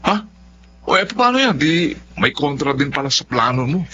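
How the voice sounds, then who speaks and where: A high, very lively male voice with a Filipino accent comes over a dull recording. a casual, prying drinking pal in a radio drama. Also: normal speed, slight background noise. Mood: teasing, curious. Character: nosy, casual drinking buddy of a schemer. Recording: dull lo-fi audio with faint music.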